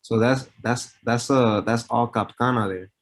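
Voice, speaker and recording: A man's voice: medium pitch, steady tone, a little slow. A man chatting casually and eagerly, full of slang like "bro" and "man". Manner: enthusiastic, slangy, calls people bro. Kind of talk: casual chat.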